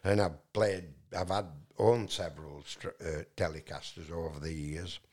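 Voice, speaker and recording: A man's voice: low voice, fairly even intonation, normal speed. A man from England, telling a long, rambling story as a guest and often restarting with fillers. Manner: loud voice, many fillers and restarts.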